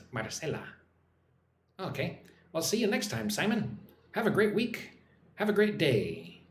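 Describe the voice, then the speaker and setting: A man's voice: fairly high pitch, echoey room, slight background noise. An American man hosting a casual English lesson livestream, chatting with viewers and answering their comments by name. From United States. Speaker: English teacher. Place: home in Vietnam.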